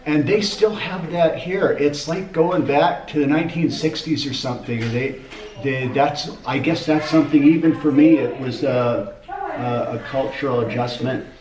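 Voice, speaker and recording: A man's voice: a little high, expressive, moderate pace, a crowd in the background. A middle-aged man chatting casually as co-host, wry and hesitant, often restarting his sentences. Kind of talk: casual chat. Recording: dull echoey room, mic at a distance.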